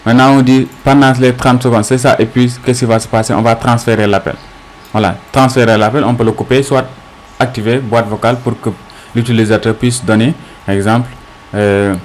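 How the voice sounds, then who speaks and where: A man with a mid-pitched voice walks through a screencast tutorial. He speaks off the cuff as an instructor, haltingly, posing small questions and answering them as he explains each step. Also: steady tone, slight background noise.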